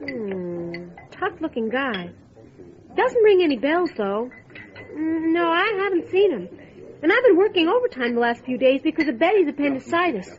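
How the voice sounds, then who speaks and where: A wry, chatty diner waitress in an old-time radio horror drama. Her high voice swoops expressively as she muses and tells tales. The sound is slightly dull and lo-fi. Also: normal speed. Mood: musing, gossipy. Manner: musing, expressive storytelling. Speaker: voice actor.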